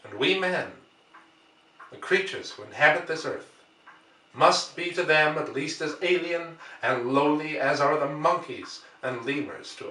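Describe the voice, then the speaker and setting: A man reading a classic novel aloud as an audiobook narrator, with deliberate phrasing and dramatic emphasis. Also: a little high, pushed and bright. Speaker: audiobook reader. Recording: roomy, echoey, distant from mic.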